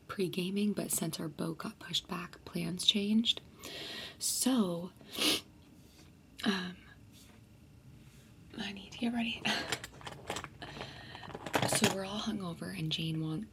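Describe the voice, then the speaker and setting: A young woman whispers a chatty storytime straight to her viewers, filling it with likes and ums. Her low voice breaks into a gravelly fry, and a faint hiss of noise sits underneath. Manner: chatty, many fillers. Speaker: vlogger.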